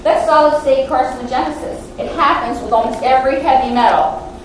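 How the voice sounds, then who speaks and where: A woman's voice: high-pitched, fairly quick, slight background noise. A woman scientist giving an academic lecture into a handheld microphone, self-deprecating and hesitant with many fillers. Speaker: guest lecturer, lab scientist. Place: large lecture hall. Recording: echoey hall, mic far off.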